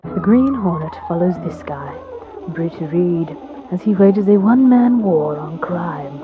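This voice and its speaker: A woman's voice: fairly low pitch, lively intonation, measured pace. A woman giving a wry, tongue-in-cheek scripted summary narration. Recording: tinny band-limited voice under music.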